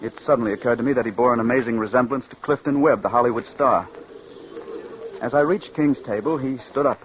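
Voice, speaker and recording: A muffled old radio recording with faint noise carries an actor as an anxious writer in his early thirties. He is an earnest, nervous man with a medium-pitched voice, playing in 1940s radio drama manner. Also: brisk, close to an everyday voice. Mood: narrating.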